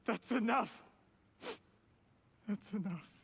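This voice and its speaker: An actor playing a smooth-talking, scheming young male official in a video game, formal and ingratiating, defensive when cornered. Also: high-pitched, slow pace, noisy background, heavily acted. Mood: anguished.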